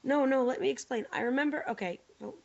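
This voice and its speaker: A woman co-hosting a podcast with a hoarse voice from laryngitis, joking and bantering in casual chat. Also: high-pitched, fast. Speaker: podcast co-host. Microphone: remote call microphone. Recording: dull lo-fi online call audio.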